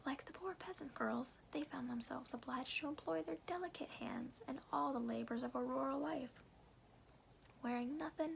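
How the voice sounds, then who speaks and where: A woman reading a storybook aloud in a soft, breathy ASMR whisper, phrasing slowly and deliberately with careful pauses. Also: high-pitched, a little hiss or hum, rough recording.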